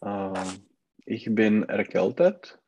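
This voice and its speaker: A man's voice: on the low side, lively intonation, extremely slow, darkish tone, slight background noise. A man with a Czech accent and a cold, learning German and searching for words with many hesitations. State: has a cold. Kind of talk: halting online language lesson.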